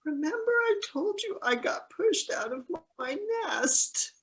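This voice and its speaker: A woman's voice: high-pitched, very animated, a little slow, fairly bright tone, heavily acted, rough recording. A woman acting as a naive, nervous fledgling seagull in an amateur virtual play, earnest and wide-eyed. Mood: panicked.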